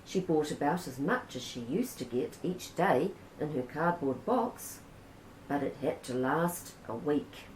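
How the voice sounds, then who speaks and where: A woman with a New Zealand accent reading a children's picture book aloud in a gentle, unhurried way. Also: low-pitched, animated, a little hiss or hum. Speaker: storybook reader. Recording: roomy echo.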